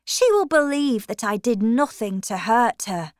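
A woman's voice: big pitch swings, a little slow, no room sound, clean studio sound. A woman voicing a principled young woman in a storybook reading, speaking earnestly and pleadingly. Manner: earnest, pleading, raised pitch.

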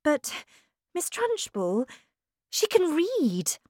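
A woman voicing a timid, kind young schoolteacher who pleads in a high, anxious voice. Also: huge pitch swings, drawn-out words, a little mellow, dry recording, clean studio sound, exaggerated voice. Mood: pleading.

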